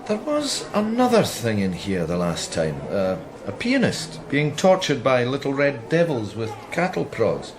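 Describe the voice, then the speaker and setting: Wry, guarded Scottish man, hesitant and understated yet varied in pitch, radio play psychic. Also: wildly swooping pitch, a little slow, hardly any pauses, background noise. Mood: amused, curious. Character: wry, guarded psychic detective, grown son. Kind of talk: naturalistic radio drama acting.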